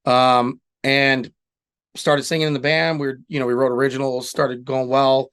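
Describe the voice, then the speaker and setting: A man's voice: medium pitch, little pitch movement, moderate pace, a bit bright, smooth. Man telling his own story, candid and loose. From Southern California. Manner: candid storytelling, casual swearing. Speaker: guest, singer-songwriter and Marine veteran. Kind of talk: casual interview chat.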